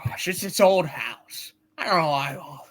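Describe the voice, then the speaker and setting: A man playing a sharp-tempered but loving, weary father in a hammed-up live radio play reading. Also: a little high, animated, unhurried. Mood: exasperated. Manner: hammed-up, exasperated, stumbling reading.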